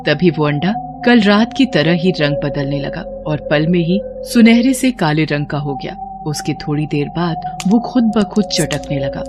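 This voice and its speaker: A woman's voice: low-pitched, expressive, measured pace, few pauses, over music. A woman narrating a scripted horror story for children as a storyteller.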